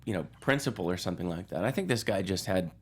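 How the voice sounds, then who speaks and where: Low-voiced man thinking aloud about movies. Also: lively intonation, quick pace. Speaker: guest film critic. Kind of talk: casual movie talk.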